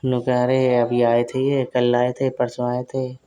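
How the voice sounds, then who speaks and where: A man's voice: mid-range voice, completely flat delivery, measured pace, dark tone, clean tone, lightly acted. A man playing a flirty brother-in-law who teases and coaxes in casual talk on a recorded phone call. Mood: teasing. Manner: teasing, coaxing, suggestive.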